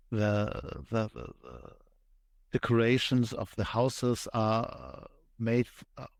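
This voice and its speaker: A man with a German accent, a podcast guest explaining things step by step with frequent thoughtful pauses. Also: on the low side, a little mellow, no room sound. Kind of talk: conversational interview explanation.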